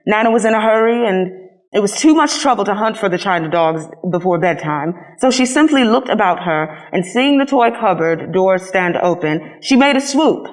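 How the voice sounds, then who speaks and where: Woman narrating a children's storybook, mid-pitched. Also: expressive, moderate pace, rough recording. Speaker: storybook reader.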